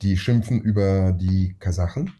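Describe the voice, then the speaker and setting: A man's voice: deep voice, moderate pace, dark tone, a little hiss or hum. A man from Uzbekistan whose first language is Russian, telling stories as a guest in a casual interview in slangy, emphatic speech. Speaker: actor guest.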